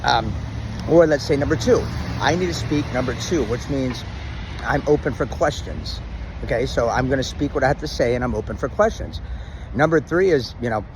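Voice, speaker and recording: A man's voice: medium pitch, normal speed, a little hiss or hum, low-quality recording. Rambling middle-aged man outdoors. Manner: rambling, many restarts and ums; says he has a loud, dominant voice. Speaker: vlogger giving relationship advice. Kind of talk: casual talk to camera.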